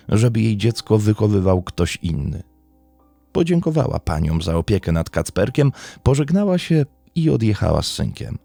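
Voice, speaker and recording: A man narrating a scripted true-crime story in a measured storytelling manner with dramatic pauses. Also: fairly low pitch, vocal fry, mellow, breathy edge, dry recording.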